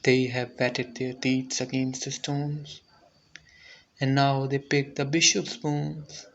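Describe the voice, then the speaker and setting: Slow, theatrical man reciting narrative verse. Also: mid-range voice, level tone, vocal fry, lo-fi sound. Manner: theatrical, deliberate, swelling from hushed to intense. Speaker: poetry reader. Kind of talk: dramatic narrative poetry recital.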